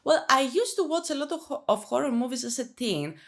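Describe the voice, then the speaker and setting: A woman's voice: sits high, expressive, brisk. A young woman with a Greek accent answering viewer questions in a casual, chatty vlog, often restarting her sentences. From Greece. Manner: chatty, self-conscious, with frequent restarts and fillers. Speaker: YouTuber. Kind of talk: casual Q&A chat.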